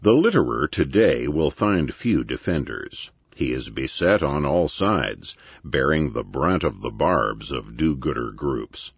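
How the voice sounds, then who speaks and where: A man reading a nonfiction essay as an audiobook narrator, with measured, deliberate and emphatic phrasing and a gravelly, husky voice. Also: very deep voice, no room sound, highs cut off.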